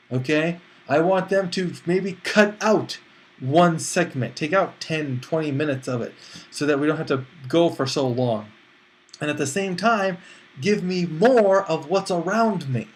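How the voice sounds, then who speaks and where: Man reviewing movies in a higher-pitched voice. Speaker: movie critic and host. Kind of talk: casual spoken film review.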